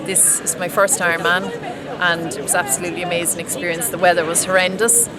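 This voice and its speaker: A woman's voice: on the low side, flat delivery, fast. Irish woman in her forties, heartfelt. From Ireland. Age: 40s. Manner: emotional, heartfelt. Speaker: race participant. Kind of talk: street interview. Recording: loud noise and crowd.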